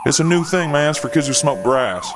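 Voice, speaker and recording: An actor playing a reckless, unflappable road comic with a laid-back, deadpan delivery. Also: male voice, on the high side, a little fast, big-room sound, noisy background, close to an everyday voice.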